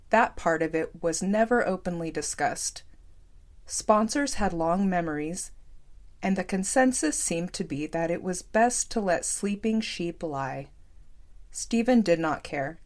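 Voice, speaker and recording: A woman's voice: fairly low pitch, a little mellow. A woman reading a scripted story aloud as an audiobook narrator.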